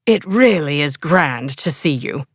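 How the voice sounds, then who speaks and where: A woman's voice: low voice, expressive, a little slow, no echo, studio quality. A woman voicing a teasing young male vampire with an English accent, playful and mocking. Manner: playful and mocking, sing-song.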